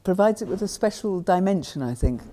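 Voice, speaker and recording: An older woman, a hotel owner, talks in a measured, chatty way with frequent hesitations and restarts while showing a guest around. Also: on the low side, expressive, dark tone. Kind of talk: casual tour and interview. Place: outdoors and indoors at a country hotel. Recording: faint background noise.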